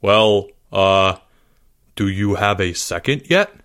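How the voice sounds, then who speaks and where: A man's voice: lowish voice, lively intonation, takes it slowly, a bit bright, pitched below the performer's own voice. A man voicing a condescending, combative schoolboy who brags and snaps in a storybook reading. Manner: condescending, snappy. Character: cocky, condescending schoolboy Albert, bragging and combative.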